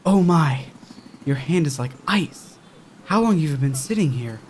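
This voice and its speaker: A man's voice: a little high, expressive, measured pace, slight background noise. An actor playing a creepy, predatory man who poses as kindly in a horror audio drama. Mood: coaxing, syrupy.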